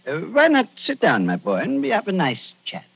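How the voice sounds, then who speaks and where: An actor playing a fussy, evasive German doctor in a 1940s radio drama, with an accent from Germany and a halting, ingratiating manner. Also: male voice, on the high side, huge pitch swings, slightly rough, exaggerated voice. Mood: genial, blustering. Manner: ingratiating, halting, repeats himself nervously. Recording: dull, muffled 1940s radio recording.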